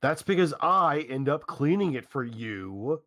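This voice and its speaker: Man reading a slow, hesitant teenage boy. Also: fairly high pitch, sing-song, fairly bright tone. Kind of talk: staged reading of a visual novel.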